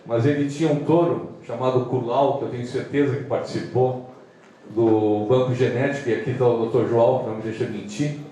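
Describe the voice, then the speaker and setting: A southern Brazilian man talks to an audience in a conversational, direct way. His tone is soft-edged and mellow. He is heard from a distance in a strongly reverberant room, as someone moderating an event would be. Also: mid-range voice. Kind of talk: informal speech to an audience. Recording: echoey room, far mic, faint noise.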